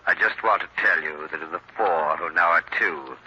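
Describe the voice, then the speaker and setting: An actor playing a menacing impostor posing as a mysterious avenger in an old radio mystery, speaking slowly and ominously with taunts. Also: male voice, low voice, bright, forceful tone, husky, heavily acted. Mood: sinister, taunting. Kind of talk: 1940s radio mystery acting. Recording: dull, noisy old radio recording.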